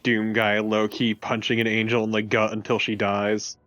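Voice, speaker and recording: A man's voice: on the low side, completely flat delivery, moderate pace, a bit bright, highs cut off. A man co-commentating on a let's play with casual, slangy, wry banter. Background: video game music.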